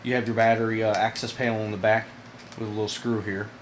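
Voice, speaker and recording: A man with a slightly low voice talks viewers through a product demonstration in a casual, informal way. His delivery halts and pauses as he goes. It sounds like an amateur home camera recording with light background noise. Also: flat delivery. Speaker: product reviewer, video host. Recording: noisy amateur camera audio.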